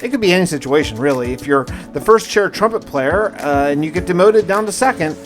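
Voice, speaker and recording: Higher-pitched man narrating over music. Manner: conversational, musing, wry asides. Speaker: gaming video narrator. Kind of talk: first-person roleplay diary voice-over of a survival game.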